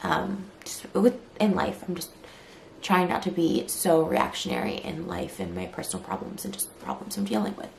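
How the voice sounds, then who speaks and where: A woman in her thirties, short on sleep and hurt, talking fast in a response vlog and filling her speech with "like". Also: on the low side, very animated, creaky, echoey room, a little hiss or hum, rough recording. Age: 30s. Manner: tired, hurt and fast-talking, with many "like" fillers. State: short on sleep, near tears later. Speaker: YouTuber.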